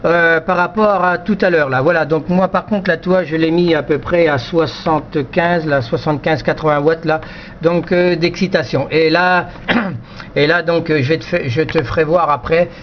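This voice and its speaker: A man's voice: a little high, fairly even intonation, normal speed. A man operating an amateur radio, explaining technical things casually in choppy, repeated phrases. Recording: slightly dull, faintly noisy radio chat recording.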